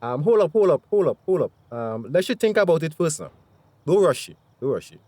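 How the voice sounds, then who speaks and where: A man's voice: a little high, sing-song, brisk, dark tone, no echo. An actor playing a brash, suspicious man in a radio drama, with a Tobagonian accent and a confrontational, mocking manner. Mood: insistent. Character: brash, suspicious man challenging everyone at a wake.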